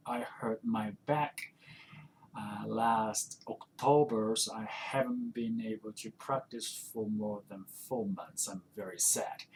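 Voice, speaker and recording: Male podcast host, early 40s, Japanese accent with New Zealand influence, unhurried pace, informal and halting delivery. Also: medium pitch, slight background noise. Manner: halting, informal, self-correcting. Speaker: podcast host and kendo instructor. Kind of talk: casual solo podcast talk.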